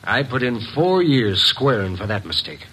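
A man's voice: medium pitch, very animated, normal speed, fairly bright tone, slightly rough, low-quality recording. An actor playing a male ex-convict under questioning, sounding defensive, insistent and hesitant in 1940s radio style.

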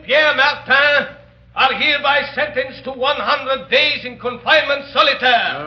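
An actor playing a stern penal colony officer in a 1930s radio drama, formal and declamatory. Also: male voice, extremely high pitch. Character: stern penal colony officer reading out a sentence and counting lashes. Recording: echoey, noisy, muffled old radio recording.

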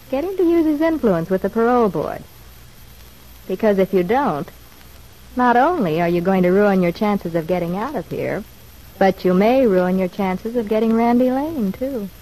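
A woman playing a scheming, bossy prisoner in an old-time radio soap, husky and insistent. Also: medium pitch, warm tone, close to an everyday voice, poor audio. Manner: insistent, calculating.

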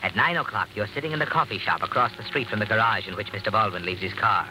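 An actor playing a taunting, all-knowing narrator in old-time radio suspense, insinuating and prodding with rhetorical questions. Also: male voice, on the low side, fast talker, background noise, lightly acted. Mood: ominous, suspenseful. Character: taunting, omniscient male inner-voice narrator of doom.

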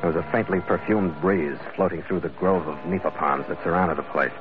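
A man plays a hard-boiled seafaring bodyguard in classic radio drama style. His voice is on the low side, clipped and matter-of-fact, with a wry edge. The old recording is dull, with music clearly behind him. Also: a little fast, close to an everyday voice. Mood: grim narration. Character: tough, wry Irish-American sailor hired as bodyguard, narrating. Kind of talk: 1940s-50s radio drama acting, hard-boiled narration.

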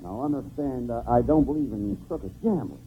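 A man's voice: mid-range voice, animated, normal speed. A man playing the scolding, fussy straight man in a 1940s radio comedy double act. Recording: tinny, hissy old radio broadcast.

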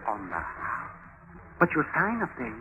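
An actor playing a meek, bumbling, easily flustered German husband in old-time radio comedy-drama, hesitant and apologetic. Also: male voice, mid-range voice, huge pitch swings, a little slow, faint music behind. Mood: sheepish. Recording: muffled, noisy old radio recording.

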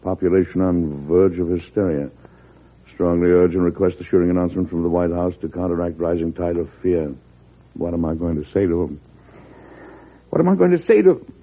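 An actor playing a weary, resolute American president in an old-time radio drama, deliberate and plain-spoken. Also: male voice, deep voice. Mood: exasperated, firm. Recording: muffled, tinny old radio broadcast recording.

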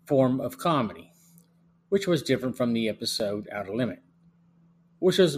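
Middle-aged man narrating over faint hum. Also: mid-range voice. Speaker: podcast host and reviewer. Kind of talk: scripted narration, plot summary and review. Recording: faint hum and background noise.

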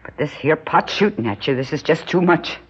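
A woman's voice: low voice, expressive, fairly quick, breathy edge, full character voice. An actress playing an anxious, plainspoken rural American mother in a radio drama, urgent and folksy. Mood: hushed resolve. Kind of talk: 1960s radio drama acting. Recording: dull old radio drama recording.

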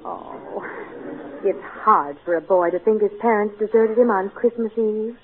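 An actress playing a caring, tender-hearted housewife, expressive and sympathetic, in an old-time radio comedy. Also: female voice, mid-range voice, a little slow, slightly rough. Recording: tinny vintage radio recording.

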